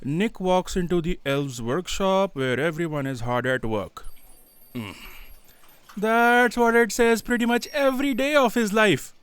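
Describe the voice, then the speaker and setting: Pedantic, animated assistant. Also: female voice, fairly low pitch, a little slow, clear, resonant tone. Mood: dry, explanatory. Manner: pedantic, explanatory. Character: pedantic, philosophical, fussy assistant. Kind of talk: audio drama comedy acting.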